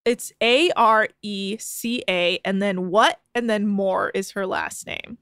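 A woman's voice: mid-range voice, fairly even intonation, takes it slowly, a bit bright, clean tone, no echo, studio quality. Amused woman reading posts aloud. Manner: amused, incredulous, laughing. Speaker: podcast co-host.

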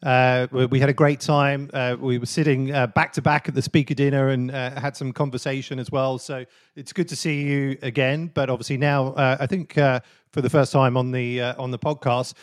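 A man's voice: mid-range voice, little pitch movement, normal speed, dry recording. A male podcast host with a mid-range voice, recorded close and dry. He asks questions with eager energy, often restarting a phrase, and his voice lifts on each question. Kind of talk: podcast interview.

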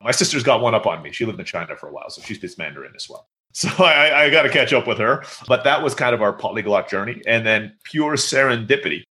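Interview guest, man in his thirties, raised in Turkey; brisk, bright-toned storyteller, rambling and casual. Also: medium pitch, expressive. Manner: chatty, storytelling with many fillers.

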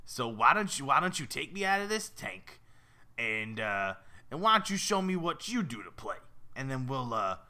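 A man voicing a big, scheming talking goldfish with a raspy New York accent and a cajoling, streetwise manner. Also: mid-range voice, sing-song, normal speed, sharp tone. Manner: streetwise, cajoling, calls the listener kid.

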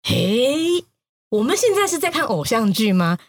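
A Taiwanese-accented woman tells news like a playful story. Her voice is fairly high and husky, with very lively swings and a dry, close sound. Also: unhurried, no echo. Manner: expressive, teasing. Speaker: news podcaster, guest storyteller.

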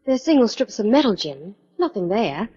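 A woman's voice: on the high side, very animated, moderate pace, dark tone, husky. A woman acting in 1940s radio style as a loyal, spirited detective's assistant, forthright and curious. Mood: curious, eager. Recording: dull vintage 1940s radio recording.

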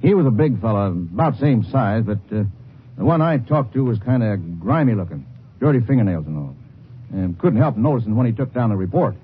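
An actor playing a rough, plain-spoken country drifter who talks in a halting, folksy drawl in a 1950s radio drama. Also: male voice, mid-range voice, very animated, natural voice. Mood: hesitant. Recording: tinny old radio broadcast, faint hiss.

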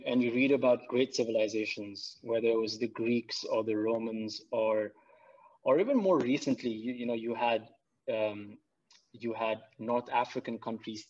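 A man speaks earnestly in an easy conversational flow, stumbling and repeating words as he works out each point. His voice sits at an ordinary middle pitch. The recording sounds slightly dull and muffled. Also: a little mellow. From Pakistan. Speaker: podcast guest. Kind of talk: casual conversation.

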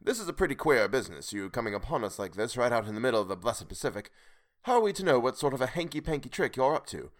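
Man playing a practical sailor, storybook, bold, hearty, colloquial, commanding, very animated pitch. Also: fast, lightly acted. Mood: challenging. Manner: hearty, colloquial, calling out over the water.

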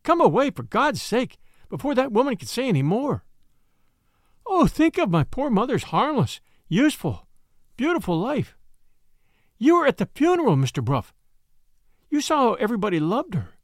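A man reading aloud voices a distressed young lady, rising high into shrill, pleading horror. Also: very animated, brisk, gravelly, no echo, studio quality. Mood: horrified.

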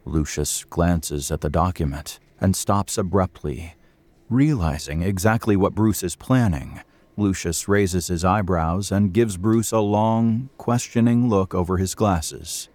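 A man's voice: low-pitched, measured pace, a little mellow, no echo. A man narrating an audio drama from a script as its storyteller.

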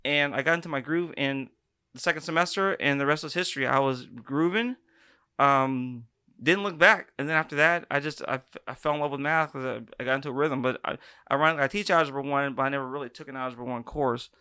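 A man's voice: mid-range voice, fast talker, pushed and bright, clear, resonant tone, no echo, studio quality. A man co-hosting a show, a math teacher telling a casual story about school in an easygoing, teasing way.

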